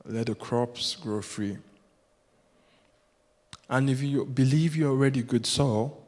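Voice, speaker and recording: Yoruba-speaking guy preaching, middle pitch, builds with repeats, big echoey church sound. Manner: earnest, rhythmic, builds with repetition. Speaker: guest preacher. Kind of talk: sermon. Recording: long hall reverb.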